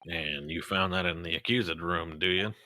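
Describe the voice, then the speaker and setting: A man playing a gruff, offhand police inspector. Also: sits low, fairly even intonation, moderate pace, sharp tone, a little hiss or hum. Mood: brusque. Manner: offhand, dismissive, colloquial. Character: brusque middle-aged male police inspector. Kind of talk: fan dub reading game dialogue.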